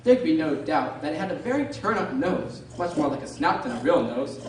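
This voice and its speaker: A woman narrating a scripted storybook radio drama in an expressive, theatrical way. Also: low-pitched, brisk. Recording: echoey room, distant mic, loud noise.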